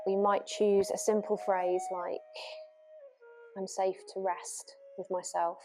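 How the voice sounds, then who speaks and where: A woman yoga nidra teacher leading a guided relaxation meditation gently and without hurry, leaving long pauses. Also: medium pitch, level tone. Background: new-age music.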